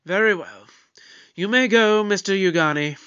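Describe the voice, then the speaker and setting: A man playing a shrewd, measured investigator in an audio drama, speaking formally and deliberately. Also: high-pitched, a bit bright, smooth, muffled recording. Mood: firm, probing. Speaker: investigator questioning suspects. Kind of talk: fan audio drama acting.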